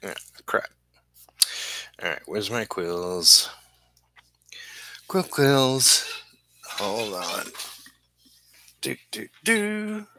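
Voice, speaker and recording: A man chatting casually on a livestream as an artist, halting and apologetic, often muttering to himself. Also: fairly low pitch, wildly swooping pitch, bright, forceful tone. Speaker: comic book inker livestreaming his work.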